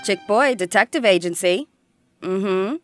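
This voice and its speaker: Actress as snippy secretary, sardonic, mid-pitched, radio play, music underneath. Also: female voice, expressive, unhurried, lightly acted. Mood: icy, sarcastic. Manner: sardonic, curt. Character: curt, snippy female detective's secretary.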